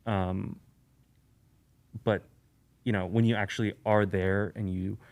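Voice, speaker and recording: Laid-back man from Eastern Europe, lowish voice. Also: takes it slowly. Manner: relaxed, rambling, many fillers and restarts. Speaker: guest, sneaker YouTuber. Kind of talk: casual podcast conversation.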